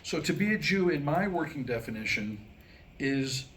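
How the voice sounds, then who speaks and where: An American man with a slightly high voice delivers a comic monologue to a live audience. He is wry and self-deprecating, setting up lines deliberately and pausing for effect. The live recording carries faint background noise. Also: animated, fairly bright tone. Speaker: writer and performer reading his own new comic script. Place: live staged reading before a small audience. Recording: noisy live room recording.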